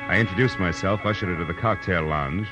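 An actor playing a tough, wry private detective in 1940s radio noir style, narrating and talking sardonically. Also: male voice, sits low, fast, lightly acted. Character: wry, hard-boiled male private detective. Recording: old radio show, music underneath.

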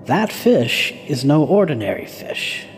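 Guy doing an old wise woman from a folktale, ominous warnings, bit high, big echoey reverb. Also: sing-song, measured pace, a little hiss or hum. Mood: grave warning. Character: elderly wise woman, grave and foreboding. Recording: long cavernous reverb on voice.

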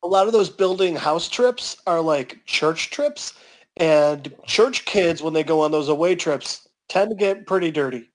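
A man's voice: on the high side, measured pace, rough recording. A man co-hosting a podcast in casual comic banter. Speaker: podcast co-host.

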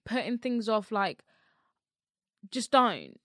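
A woman's voice: a little high, big pitch swings, slow, fairly bright tone, clean studio sound. A woman co-hosting a podcast, chatting casually and encouragingly, often echoing her friend. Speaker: podcast co-host. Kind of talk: casual chat.

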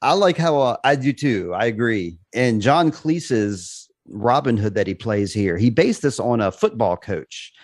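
A man's voice: medium pitch, animated, measured pace, hardly any pauses, dry recording, studio quality. A man hosting a podcast, recapping a film in casual chat and laughing often. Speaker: podcast host.

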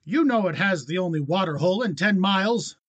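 A man's voice: high voice, animated, a little fast, a bit bright, no room sound, highs cut off, pitched above the performer's own voice. A man voicing a bitter, wiry small rancher. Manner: restless, embittered.

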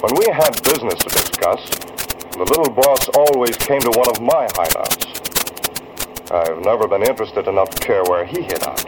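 A man's voice: lowish voice, lively intonation, normal speed, over music, lightly acted. A man acting a cool, commanding masked vigilante posing as a racketeer, speaking tersely in an old-time radio crime drama. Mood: cool, persuasive. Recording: noisy old radio recording.

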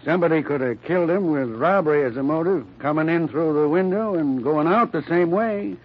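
An actor playing a glum, folksy, pessimistic associate in an old-time radio mystery. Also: male voice, fairly high pitch, fairly quick, highs cut off, close to an everyday voice.

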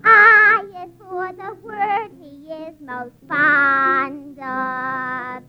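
Loud music backs a sharp-tongued young woman. Her voice is extremely high and she drags out each line with mock sweetness, in a dull-sounding 1940s comedy broadcast. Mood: mock-sentimental, needling. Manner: mock-sweet, deliberate recitation. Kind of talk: 1940s radio comedy acting. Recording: dull vintage radio recording, loud music.

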